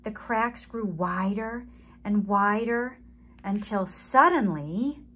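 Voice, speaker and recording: A woman storyteller reading a children's story aloud in an expressive, deliberate manner. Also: mid-range voice, slightly rough.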